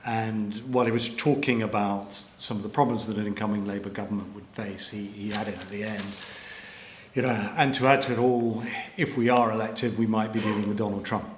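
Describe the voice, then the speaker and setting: A middle-aged man with a British accent, a journalist giving a measured panel talk with frequent ums and restarts. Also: lowish voice, steady tone, a little hiss or hum. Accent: British English. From Britain. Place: lecture hall. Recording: echoey hall with faint ambience.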